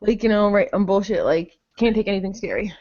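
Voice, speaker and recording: A woman's voice: medium pitch, a little fast, dark tone. A woman co-hosting a podcast, bantering casually in a blunt, crude, sweary way. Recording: muffled voice-chat audio.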